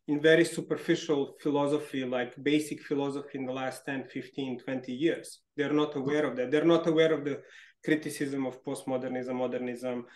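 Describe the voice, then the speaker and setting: A man's voice: medium pitch, fairly even intonation, brisk, a little mellow, reverberant. A man from Serbia hosting an interview, talking in a rambling, hesitant way with many restarts. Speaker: interviewer, podcast host. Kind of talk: casual conversation.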